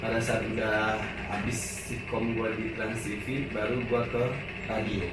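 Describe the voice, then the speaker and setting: Minang-accented man telling animated stories. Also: fairly low pitch, measured pace, heavy background noise. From West Sumatra, Indonesia (Padang). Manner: animated storytelling in slangy speech. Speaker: guest, actor and radio host. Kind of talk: casual chat. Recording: echoey room, far from the mic, with music.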